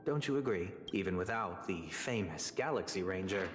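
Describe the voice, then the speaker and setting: A man's voice: deep voice, lively intonation, a little hiss or hum. Coldly courteous nobleman in cavernous reverb. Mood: cool, courteous. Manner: formal, measured, pointedly polite. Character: refined, guarded young male family head, coldly courteous. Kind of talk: scripted video game acting. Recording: long hall reverb with music behind. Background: game music.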